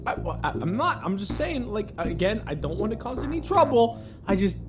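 A man's voice: sits high, sing-song, fairly quick. A nervous young kid played by a man stammers through hesitant, pleading lines in a sci-fi comedy audio drama. The voice is timid, with faint music behind it. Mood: flustered.

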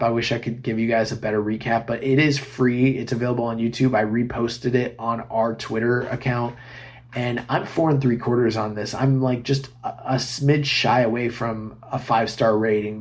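A hoarse man hosting a solo wrestling podcast, recapping a match in a casual, enthusiastic way with many fillers. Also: mid-range voice, a little mellow. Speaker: podcast host.

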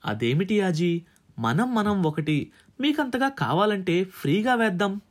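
A male managing director in an audiobook story, read by a man. He is calculating and ingratiating, talking in a fairly high, lively and persuasive voice. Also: unhurried.

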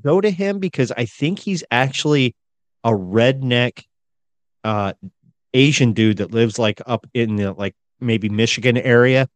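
Mid-pitched man rambling in casual chat. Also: animated, unhurried, no echo. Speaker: podcast co-host.

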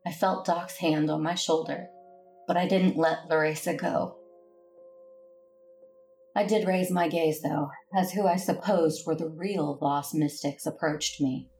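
Low, gravelly woman narrating with grief. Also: steady tone, a little slow, a little mellow. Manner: grave, grieving first-person narration. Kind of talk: audio drama narration. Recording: music under the voice.

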